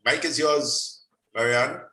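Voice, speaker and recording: Chatty male meeting host, bright tone, distant in an echoey room. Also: medium pitch, slow pace. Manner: chatty, hands over the floor. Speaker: host of a radio club meeting. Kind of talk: hosting an online meeting. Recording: echoey room, voice from away from the mic.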